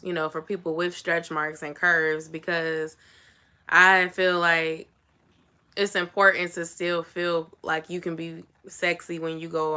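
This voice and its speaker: A young woman with a somewhat raspy voice, chatting casually to her viewers and saying "y'all" and "like" a lot. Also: on the low side, pushed and bright. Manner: chatty, says "y'all" and "like" often. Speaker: vlogger. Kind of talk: casual chat to camera.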